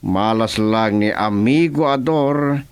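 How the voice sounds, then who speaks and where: A man's voice: on the low side, slow, smooth. A man playing a menacing, smug crime boss in a radio drama, with an Ilocano Filipino accent. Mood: smug menace.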